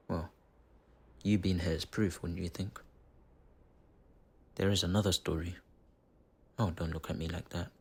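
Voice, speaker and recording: A man playing a menacing, mocking dark spirit in a possessed body, gravelly and husky, in a theatrical fantasy audio roleplay. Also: sits low, exaggerated voice. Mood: brooding storytelling. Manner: theatrical, taunting, deliberate with dramatic pauses.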